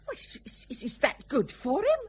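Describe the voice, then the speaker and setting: An actress in a radio drama playing a disapproving older mother who is sharp and probing but concerned. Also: high voice, huge pitch swings, normal speed. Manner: reproachful, probing.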